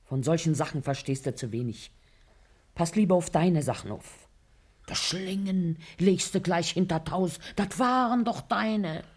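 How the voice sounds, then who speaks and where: Radio play casting: earthy scheming mother, low-leaning voice with big swings, thick German dialect, scolds and coaxes. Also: expressive, a little slow, husky. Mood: scolding. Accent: German regional dialect. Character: scheming, bossy working-class wife and mother, coarse and shrewd.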